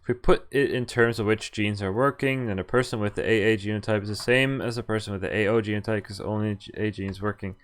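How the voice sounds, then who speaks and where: A man's voice: lowish voice, steady tone, fast, hardly any pauses. A man streaming a visual novel, reading it aloud with casual, amused asides. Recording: background game music behind voice. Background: game music.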